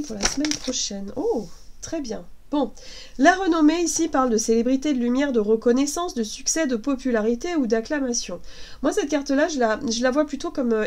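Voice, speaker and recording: A woman with a congested head cold gives a casual tarot reading, gentle and reassuring, hesitating often with fillers and restarts. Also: high-pitched, slight background noise. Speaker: tarot card reader.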